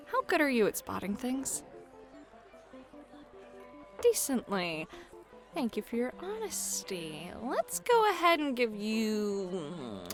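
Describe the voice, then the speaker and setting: Club hostess and game master, woman actor: playful, chatty, animated high voice over music, ASMR roleplay. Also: heavily acted. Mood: narrating, engaged. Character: friendly, flirty club hostess who runs tabletop games. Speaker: host and dungeon master.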